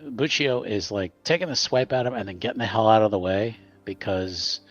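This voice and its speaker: Brief: male tabletop player, husky tone, relaxed, thinks out loud. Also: fairly low pitch, normal speed, highs cut off. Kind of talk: casual tabletop play.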